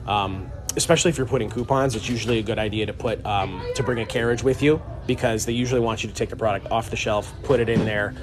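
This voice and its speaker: Low-voiced man rambling casually in a shop. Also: quick pace, hardly any pauses. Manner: relaxed, rambling with many fillers. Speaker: co-host of a live stream. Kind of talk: casual live-stream how-to talk. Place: inside a pharmacy store. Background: store music.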